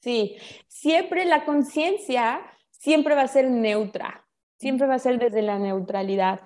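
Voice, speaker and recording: A woman with a Mexican accent explains ideas in a casual, expressive, conversational way full of colloquial slang. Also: sits high, takes it slowly. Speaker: guest explaining spiritual ideas.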